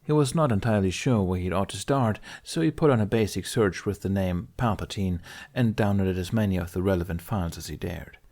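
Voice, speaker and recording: A man's voice: low-pitched, a little fast, darkish tone. A man reading a scripted science fiction story aloud as an audiobook narrator. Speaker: audiobook reader.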